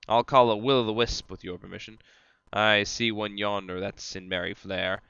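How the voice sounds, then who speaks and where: Wry wanderer, played by a male amateur: measured verse delivery, smooth clear low-leaning voice, dry and a touch muffled. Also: steady tone, a bit bright, no room sound. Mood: calling out. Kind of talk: amateur dramatic reading of verse.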